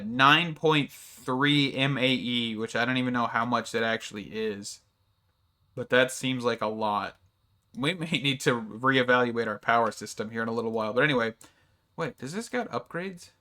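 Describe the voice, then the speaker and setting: Man, gaming commentator, bright clear tone, casual rambling narration over gameplay, slightly worn voice, desk mic. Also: mid-range voice, normal speed. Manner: rambling, thinks aloud with many fillers. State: voice a little off from strain. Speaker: gaming YouTuber. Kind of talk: casual let's-play commentary.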